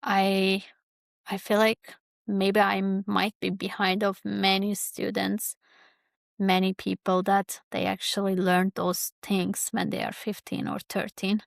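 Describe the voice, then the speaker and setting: A woman speaks slowly and haltingly, stopping often to look for the right words. Her voice has a slightly gravelly edge, and the recording is dry and close, with no sense of the room. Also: mid-range voice, no echo. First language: Kurdish. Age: 30s. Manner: halting, searching for words, many fillers. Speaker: podcast host. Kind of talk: reflective personal monologue.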